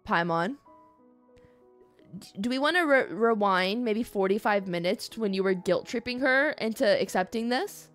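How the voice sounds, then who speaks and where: A woman giving casual live gaming commentary in a mid-pitched voice. She is conversational, reactive and playful, with faint music underneath. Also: expressive, moderate pace, a bit bright. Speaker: game livestreamer. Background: faint game music.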